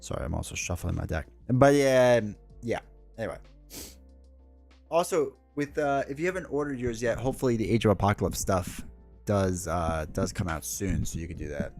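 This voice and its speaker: A man's voice: fairly low pitch, normal speed, a little mellow, soft background music. A man with a stuffed-up cold, chatting in a rambling, casual way while he streams a solo board game live from home. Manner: rambling, chatty, full of asides and fillers.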